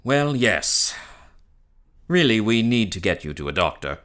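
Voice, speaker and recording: An actor playing a mild, evasive, faithless gentleman lover in an audio melodrama, polite and hesitant. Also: fairly low pitch, big pitch swings, a little slow. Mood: awkward, apologetic. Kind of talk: audio drama acting, gothic melodrama.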